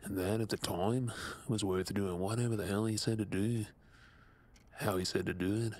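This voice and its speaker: An Australian man acting a tormented, guilt-ridden captive mutant in an audio drama, speaking in a husky, breathy, subdued and halting way. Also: lowish voice, fairly even intonation, a little mellow. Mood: weary, haunted.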